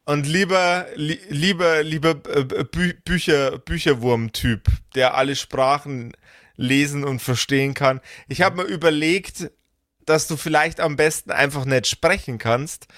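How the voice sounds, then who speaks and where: A man's voice: on the high side, fairly even intonation, unhurried, fairly bright tone, no room sound, studio quality. A man running a tabletop game podcast as game master, sardonic, mock-exasperated and sweary in casual talk.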